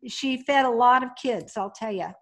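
Older woman with a somewhat high voice. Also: moderate pace. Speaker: podcast guest, business founder. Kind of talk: conversational interview, recalling and explaining.

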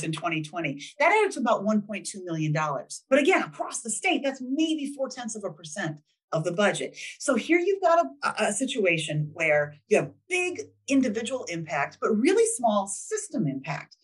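A woman's voice: medium pitch, breathy edge. A middle-aged woman answering interview questions over a video call, upbeat and conversational, explaining with figures. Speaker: guest, state official. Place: home room, video call.

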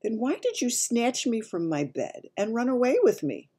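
A woman's voice: on the high side, big pitch swings, normal speed, mellow, husky, voice pushed up. A woman voicing a small, curious, polite and slightly nervous girl in a children's storybook. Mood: wary, curious. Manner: questioning, polite.

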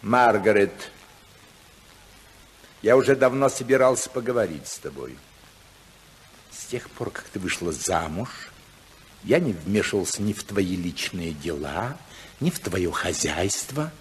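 A man's voice: lowish voice, animated, unhurried, long pauses. An actor playing a stern, pompous older tycoon father in a 1950s radio play. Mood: stern, disapproving. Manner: pompous, authoritative. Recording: noisy old 1950s radio recording.

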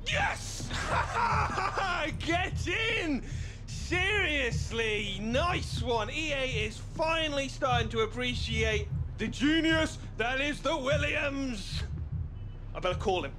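An actor playing a cheeky, excitable young footballer in a video game cutscene. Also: male voice, extremely high pitch, takes it slowly, shouting, music in the background, full character voice. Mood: elated.

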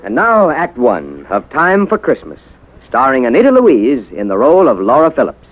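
A man's voice: mid-range voice, wildly swooping pitch, normal speed, low-quality recording. American man, vintage radio announcer, polished, emphatic, widely swinging intonation, music behind. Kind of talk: 1950s radio announcing, scripted narration.

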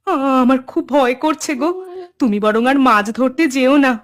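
A woman's voice: high-pitched, moderate pace, lightly acted. A woman playing an anxious, caring fisherman's wife in a cartoon, with a worried, fretful manner. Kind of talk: Bengali cartoon dub.

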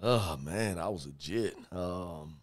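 A man in his thirties with a raspy voice, a rapper talking slowly with many fillers as a guest in a podcast studio. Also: deep voice. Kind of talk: casual interview chat. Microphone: headphones and studio mic on boom.

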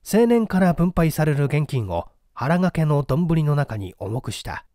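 Male mystery narrator: mellow, animated pitch movement, deliberate theatrical literary delivery. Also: no room sound, clean studio sound. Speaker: story reader.

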